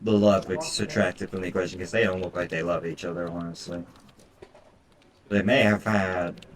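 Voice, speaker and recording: A man's voice: low-pitched, fairly even intonation, normal speed, a crowd in the background. A man doing casual let's-play commentary as a game streamer, reading visual novel text aloud with foul-mouthed, irreverent asides. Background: game music.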